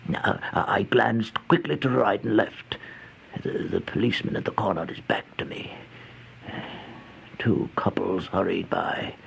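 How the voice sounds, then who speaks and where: Through a hissy old radio recording comes a weary, haunted down-and-out in a horror monologue. His low voice is heavily creaky and breathy, halting with theatrical anguish. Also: poor audio. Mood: furtive, tense. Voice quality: gravelly, breathy, dropping to near-whispers. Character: exhausted, starving, haunted homeless man recounting a horror tale.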